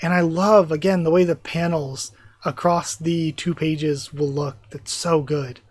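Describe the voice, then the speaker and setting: A YouTube reactor losing their voice, chatting excitedly in a live reaction while reading a manga aloud. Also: mid-range voice, unhurried, dry recording.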